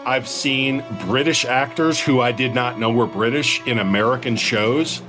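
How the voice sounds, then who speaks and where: A man's voice: medium pitch, moderate pace, music in the background. A man co-hosting a podcast, swearing freely and joking at his own expense while reviewing an action movie. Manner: profane, animated, self-mocking. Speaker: podcast co-host. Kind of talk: casual movie review chat.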